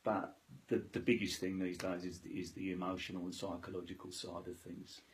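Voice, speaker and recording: A man telling a story with humour in a loud, chirpy way, as the guest in an interview. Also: low-pitched, little pitch movement, normal speed, slight background noise. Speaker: interviewee, cancer patient.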